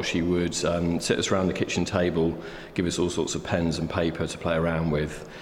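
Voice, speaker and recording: Deep-voiced man in a roomy hall. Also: slight background noise. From England. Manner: thoughtful, hesitant, many fillers. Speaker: artist guest. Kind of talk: reflective interview conversation. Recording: roomy hall echo, faint ambience.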